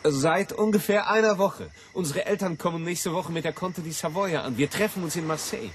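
An actor playing a brash, joking young tourist, breezy and sardonic in radio drama dialogue. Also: male voice, on the high side, a little fast, slight background noise, close to an everyday voice. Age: young adult.

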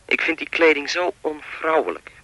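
Menacing, sharp-voiced male phone stalker. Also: a little high, lively intonation, moderate pace, no echo, poor audio. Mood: lewd, teasing. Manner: insinuating, mock-polite, rambling. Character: menacing male anonymous caller, chatty, lewd, taunting stalker. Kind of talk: radio play acting.

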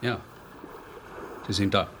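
An actor playing an unsentimental English agent in a radio drama, dry, curt and ironic in manner. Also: male voice, takes it slowly, fairly bright tone. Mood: brisk, decisive. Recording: radio drama with faint background noise.

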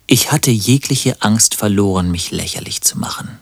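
A man's voice: on the low side, expressive, normal speed, creaky, husky, dry recording, heavily acted. An actor in a radio play playing a sardonic, self-pitying man with a dry, ironic way of talking. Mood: wry, melancholic. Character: sardonic, self-pitying lovelorn man narrating his own misery. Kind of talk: radio play narration and dialogue.

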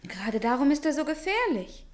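A woman's voice: sits high, very animated, a little fast. A woman acting in a German radio play as a frank, uneasy young woman, speaking formally and earnestly. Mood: urgent, warning. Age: young adult.

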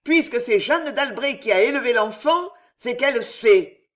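Imperious queen, icy then raging, lifted pitch and volume; read by an audiobook narrator. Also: very animated, a little slow, a bit bright. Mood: furious. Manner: raises pitch and volume, snapping and roaring in anger. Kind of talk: audiobook character reading.

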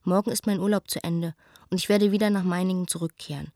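A woman's voice: fairly low pitch, fairly even intonation, fast talker, mellow, dry recording, studio quality, natural voice. An actress playing a reflective young stage performer, earnestly telling her news in a letter for a radio drama. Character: Eva, reflective young actress writing to a friend.

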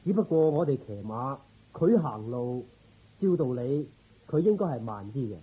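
An actor playing a dutiful, inquisitive military officer in a radio drama, with a musing way of talking. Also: male voice, medium pitch, very animated, moderate pace, dark tone, slightly rough, poor audio. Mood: businesslike. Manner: musing, questioning.

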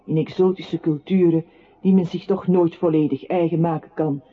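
An actress playing a narrator in a lyrical radio play, reciting in a solemn, measured way. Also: female voice, lowish voice, fairly even intonation, lightly acted. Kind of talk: literary radio drama recitation. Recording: tinny, band-limited old radio-play recording with noise.

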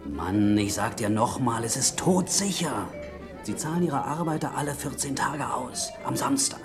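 A pushy small-time gangster in a vintage radio thriller, played by a male actor. He cajoles and needles in street slang, with a very animated middle-range voice. Music plays clearly behind him. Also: mid-range voice, normal speed, natural voice. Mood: cajoling. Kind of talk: 1970 German radio crime play acting. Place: radio drama studio.

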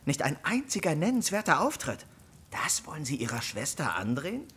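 An excitable gentleman in a radio play. His husky, fairly high voice swings widely with dramatic, easily wounded emphasis. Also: normal speed, full character voice. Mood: indignant. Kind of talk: German radio drama acting.

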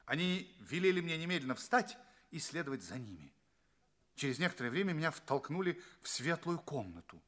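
An actor playing a polite, bewildered space traveler in a radio play, speaking and narrating in an earnest, expressive way. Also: male voice, fairly high pitch, fairly quick, a little hiss or hum. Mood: earnest storytelling. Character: bewildered, polite male space traveler telling his adventures.

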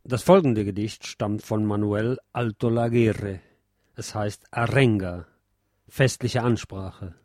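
Measured man reading poetry, dry studio sound. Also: fairly low pitch, steady tone, darkish tone, no echo. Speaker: radio host presenting poetry. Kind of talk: scripted lecture with poetry recitation.

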